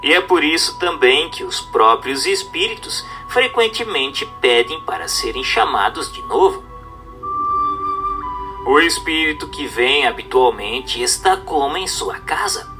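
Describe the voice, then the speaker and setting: A man with a Brazilian accent reads a religious text aloud as a narrator, in a formal, measured, didactic manner over music. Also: on the high side, animated. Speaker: narrator reading a book aloud. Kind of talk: scripted audiobook-style narration of a religious text.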